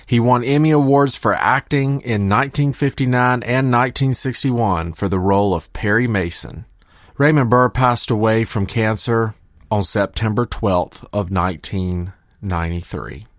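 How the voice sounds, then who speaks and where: Male podcast host, lower register, close dry recording, casual commentary on vintage radio. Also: moderate pace, dry recording.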